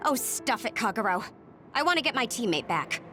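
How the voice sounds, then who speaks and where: Blunt, higher-voiced skeptical soldier. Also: female voice, big pitch swings, fairly quick, over music. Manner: dry, blunt. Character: blunt, skeptical female soldier, hard-headed realist. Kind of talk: English video game voice acting.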